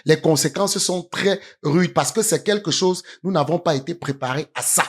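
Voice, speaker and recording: A man from Cameroon, a guest on a studio panel, speaking in a measured way with frequent hesitations. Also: on the high side, slightly rough. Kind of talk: panel discussion. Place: studio set.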